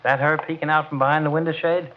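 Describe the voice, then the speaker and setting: An actor playing a plainspoken, folksy, tactless poker buddy in a 1940s radio comedy. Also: male voice, fairly high pitch, flat delivery, fast, lightly acted. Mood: casual. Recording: muffled, noisy 1940s radio.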